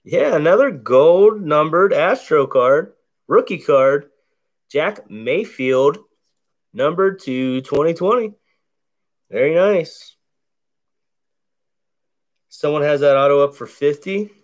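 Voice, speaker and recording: A man with a low, bass-heavy voice talks at an easy, unhurried pace as he opens packs. He names each card in a laid-back, conversational play-by-play. The sound comes from a camera over a table indoors. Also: lively intonation. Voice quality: deep, bassy voice. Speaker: card break host. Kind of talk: casual card-opening commentary. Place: indoors at a table covered in cards.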